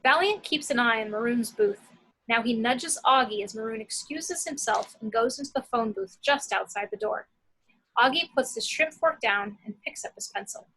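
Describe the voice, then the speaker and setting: Female narrator for screenplay action lines, bright and forceful with some rasp. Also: fairly high pitch, normal speed, rough recording. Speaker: action-description reader.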